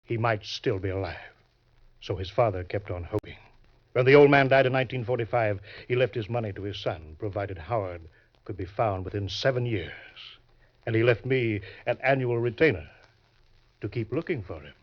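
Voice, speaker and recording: An actor playing a crafty, genial older swindler in an old-time radio crime drama, speaking in a suave, deliberate way. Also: male voice, lowish voice, lively intonation. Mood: sly, assured. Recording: dull vintage radio recording.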